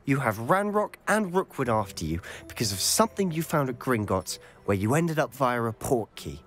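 A man's voice: medium pitch, fairly bright tone. A young man with an English accent playing a sceptical teenage schoolboy wizard in a video game, wry and incredulous. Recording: background music under dialogue.

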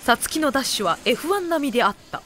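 A woman's voice: high-pitched, very animated, very fast, a bit bright, a little hiss or hum. A woman narrating a comic anime audio drama as a wry, scripted storyteller.

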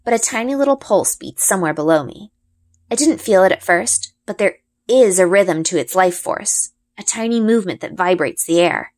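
A woman reading a children's fantasy novel aloud as an expressive storyteller. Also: mid-range voice, normal speed. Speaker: audiobook-style reader.

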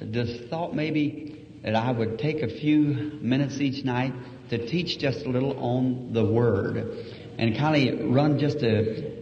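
A man preaching a sermon in a church, humble and folksy, soft-spoken, with a slightly hoarse voice. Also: mid-range voice. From United States. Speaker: evangelist preacher. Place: church auditorium. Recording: old echoey hall recording, dull and hissy.

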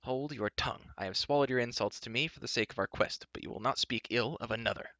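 An earnest, hot-headed youth from a fantasy storybook, acted by a man. He scolds bluntly in a tense, forceful voice. The recording is slightly dull. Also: mid-range voice, quick pace, no echo, lightly acted. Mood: angry. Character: hot-tempered, earnest youth, a lowly pig keeper on a quest.

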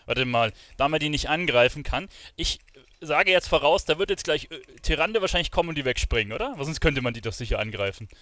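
A man's voice: a little high, animated, quick pace, bright, forceful tone, dry recording. A man streaming a video game, thinking aloud in informal commentary sprinkled with English gamer slang. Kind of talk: casual live gaming commentary.

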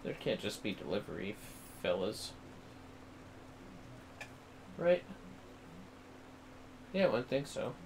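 Low-voiced man with creaky, animated delivery. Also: slow, pauses often, a little hiss or hum, rough recording. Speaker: livestreamer, host. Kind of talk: casual gaming livestream commentary, talking to chat. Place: indoors at home.

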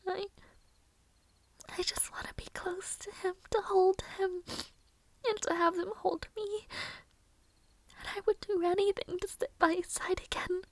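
A woman playing a sorrowful, lovelorn young maid in an audio roleplay monologue, soft and breathy, often whispering, with hesitant pauses. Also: very high-pitched, flat delivery, dry recording, low-quality recording. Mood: wistful, longing. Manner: hesitant, slow, emotional pauses.

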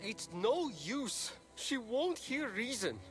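An actor playing a gossipy, flippant young messenger god in a video game, catty and breezy. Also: male voice, extremely high pitch, very animated, slow pace, fairly bright tone, soft background music, exaggerated voice. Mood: wistful. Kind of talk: video game cutscene acting.

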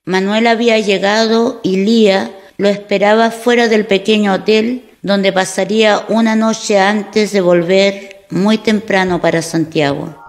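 Woman narrating a radio soap, medium pitch. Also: fairly even intonation, unhurried.

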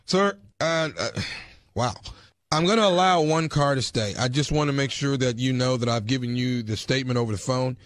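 A man playing a stern, bureaucratic neighborhood enforcement official with a halting, insistent manner on a prank call. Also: medium pitch, dry recording, studio quality, natural voice. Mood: firm, official. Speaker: prank caller.

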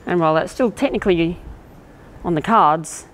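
Low-voiced woman rambling on location. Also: moderate pace, a little hiss or hum. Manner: rambling, thinking aloud with fillers. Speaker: railway video maker. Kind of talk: casual on-location commentary. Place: outdoors at a rural railway station.

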